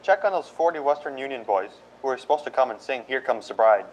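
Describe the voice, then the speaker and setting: A man's voice: mid-range voice, lively intonation, fast talker, slight background noise, natural voice, low-quality recording. A man playing a harried, exasperated Hollywood publicity agent in a radio drama, speaking urgently.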